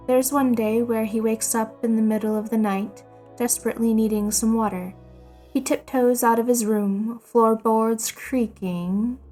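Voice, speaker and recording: Woman reading fanfic out loud, slow storytelling, highish voice, faint music behind. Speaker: fan fiction narrator. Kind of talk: scripted story narration.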